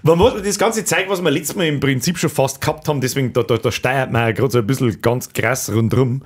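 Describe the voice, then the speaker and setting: A man's voice: mid-range voice, a little fast, slightly rough. A man with a Bavarian accent, chatting casually as a podcast co-host. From Bavaria, Germany. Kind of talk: casual chat about childhood cartoons.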